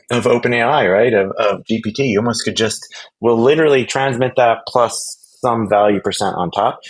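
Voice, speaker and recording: A man speaking casually as an interview guest, animated and emphatic, rambling through false starts and repeated words. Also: medium pitch, husky, poor audio. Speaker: interview guest, product executive.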